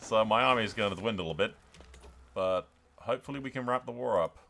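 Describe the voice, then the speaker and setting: A man's voice: on the low side, a little fast, creaky, sharp tone. A man giving casual, blokey game commentary, swearing freely and addressing his viewers. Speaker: gaming video host.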